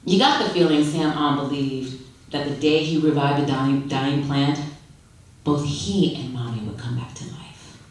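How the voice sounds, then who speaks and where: A woman giving an expressive live reading of a literary story, with dramatic phrasing and deliberate pauses. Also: deep voice. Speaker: story reader, actress. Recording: very echoey hall, distant microphone.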